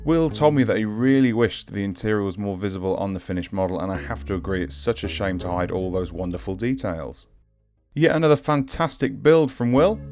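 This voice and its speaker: A man narrates in a fairly low voice. He reads a prepared script as the host of a hobby showcase, with guitar music playing underneath. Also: darkish tone. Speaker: host of a scale-model showcase series. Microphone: handheld audio recorder.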